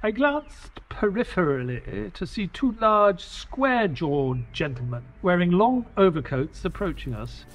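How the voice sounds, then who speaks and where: An actor playing an earnest detective's companion and narrator in a scripted audio drama. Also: male voice, sits high, big pitch swings, a little slow, few pauses, heavily acted, low-quality recording. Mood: storytelling.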